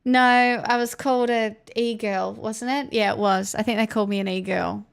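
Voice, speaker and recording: A woman's voice: mid-range voice, fairly even intonation, moderate pace, gravelly, smooth. A young Australian woman chatting casually and frankly on a live stream, rambling to her viewers; she says her voice feels strained. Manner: rambling, frank, talks to chat.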